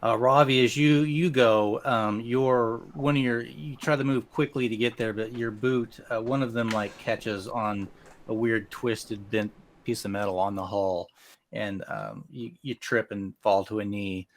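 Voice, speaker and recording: Halting male game master. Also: on the low side, fairly even intonation. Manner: halting, often rephrasing mid-sentence. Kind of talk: improvised narration of a tabletop game.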